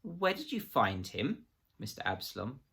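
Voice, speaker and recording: A coldly courteous official interrogator from a storybook, as a male reader puts him on. He asks his questions in precise, formal phrases with a light conversational surface and quiet menace beneath. The voice is crisp-edged and bright. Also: mid-range voice, huge pitch swings, moderate pace, voice pushed up, full character voice. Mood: cold, pressing.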